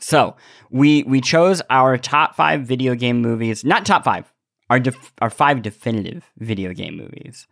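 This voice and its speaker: Male podcast co-host, playful and hyperbolic, lively swooping pitch with gravelly fry. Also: unhurried, dry recording, clean studio sound. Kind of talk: casual chat.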